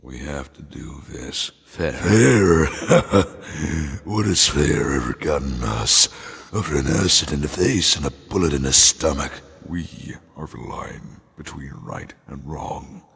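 A volatile comic-book gangster with two personalities. He argues with himself in a very rough, forceful growl that leaps from low menace to fury. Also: lively intonation, takes it slowly, in a large hall, exaggerated voice. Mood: furious, menacing. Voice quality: raspy, growling. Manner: arguing with himself. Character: volatile split-personality crime boss, menacing.

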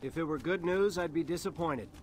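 Guy as laconic soldier hero in a game, highish voice, faint noise behind. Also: fairly quick, natural voice. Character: dry, casual soldier hero. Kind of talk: video game dialogue acting.

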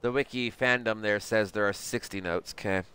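A man in his thirties doing casual livestream game commentary, halting as he thinks aloud and reads notes. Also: on the low side, fairly even intonation, sharp tone, smooth. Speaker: game streamer.

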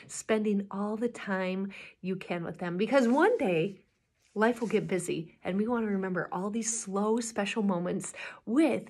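A woman in her thirties talking to young children as a story time host, with an animated, encouraging and very expressive manner. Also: mid-range voice, slightly rough. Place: small indoor room, classroom-like.

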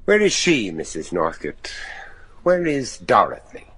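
Grave, sharp-voiced theatrical judge. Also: male voice, fairly low pitch, wildly swooping pitch, husky, no room sound, full character voice. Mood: firm, probing. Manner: theatrical, deliberate delivery. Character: grave, anxious judge searching for his missing son. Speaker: actor in a radio play. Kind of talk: gothic horror radio drama acting.

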